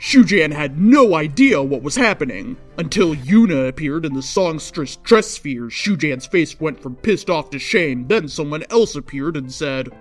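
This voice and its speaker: Female storyteller, theatrical delivery with drawn-out emphasis, reading fan fiction over a light music bed. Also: low voice, expressive. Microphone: microphone without pop filter. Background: faint music.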